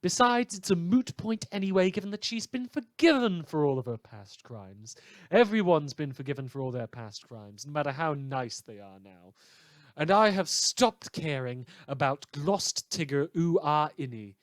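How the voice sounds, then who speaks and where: A man playing a wry, world-weary British radio newsreader in a scripted fictional broadcast, theatrical and droll with dramatic pauses. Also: a little high, sing-song, no echo. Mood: droll, exasperated. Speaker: podcast performer playing a broadcast host.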